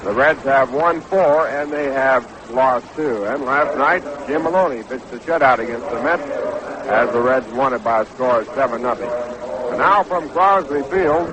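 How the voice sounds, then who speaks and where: A slightly noisy vintage radio broadcast carries a man with a medium-pitched voice. He works as a 1960s baseball play-by-play announcer at the ballpark. His delivery is measured and orderly, in the classic sports commentary style of the era.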